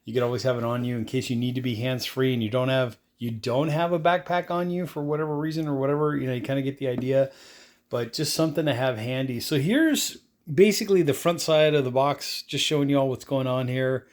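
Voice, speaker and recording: A man's voice: mid-range voice, brisk. Guy rambling thru a product review, laid-back, lots of "kinda get the idea". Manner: rambling, filler-heavy, offhand. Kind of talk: casual unboxing review.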